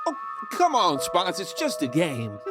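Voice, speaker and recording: A man's voice: high voice, huge pitch swings, unhurried, exaggerated voice. A man playing a sly, cocky Old West outlaw who narrates his own tale in a folksy, teasing, conversational way. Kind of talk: first-person storytelling narration in audio drama. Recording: loud music under the voice.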